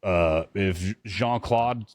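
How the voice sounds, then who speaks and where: A man's voice: sits low, extremely slow, darkish tone, no room sound. A man chatting casually as a podcast co-host, rambling and bluntly swearing as he reacts to what he watches. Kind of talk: casual chat reacting to trailers.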